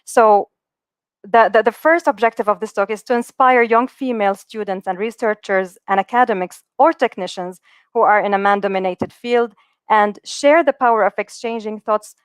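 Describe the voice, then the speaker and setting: Woman, 30s, from the UAE, earnest halting webinar speaker, smooth voice, middle pitch. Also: no room sound. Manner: many fillers and restarts, earnest. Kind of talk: motivational webinar talk.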